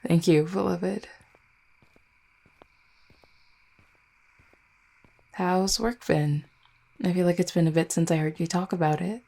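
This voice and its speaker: A woman playing an affectionate, gently teasing vampire girlfriend in an intimate roleplay audio, speaking tenderly and without hurry. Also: on the low side, fairly even intonation, normal speed, vocal fry. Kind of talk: intimate roleplay audio, soft conversational acting.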